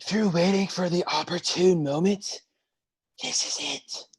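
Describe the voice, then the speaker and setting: A dramatic sea god, played by a man, husky and slow, stretching out exclamations in a dazed way. It is the loyal brother figure of a staged play reading. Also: high-pitched, lively intonation, exaggerated voice. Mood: encouraging.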